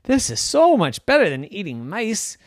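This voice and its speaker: A man voicing a group of excitable kitties with squeaky, gushing voices. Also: moderate pace, no echo, studio quality, heavily acted. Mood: delighted.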